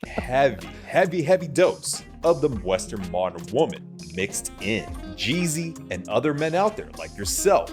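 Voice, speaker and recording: A man's voice: mid-range voice, big pitch swings, unhurried, few pauses. A man whose first language is Vietnamese, giving opinion commentary in a played video in a preachy, warning tone. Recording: guitar music under the voice.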